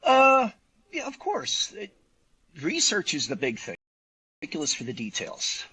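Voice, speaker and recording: A man speaking as an interview guest in casual conversation, explaining details in an animated, colloquial way. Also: fairly high pitch, measured pace, breathy edge, no room sound. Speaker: guest, physician and novelist.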